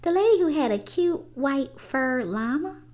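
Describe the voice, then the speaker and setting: A woman's voice: high-pitched, expressive, measured pace, darkish tone, voice pushed up. A woman voicing an eager, curious child from a children's storybook, chatty and musing. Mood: curious, fond.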